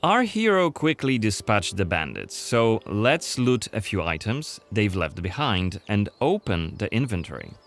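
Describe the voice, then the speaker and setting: A man with a fairly low voice delivers scripted narration for a gameplay showcase. He explains things as a presenter of the game, with music playing faintly underneath. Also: sing-song, a little slow, few pauses, fairly bright tone. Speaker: developer presenter of a game demo. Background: background music.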